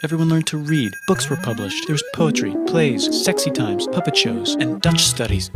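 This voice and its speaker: A man delivering scripted comedic history narration in deadpan, punchy short phrases. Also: medium pitch.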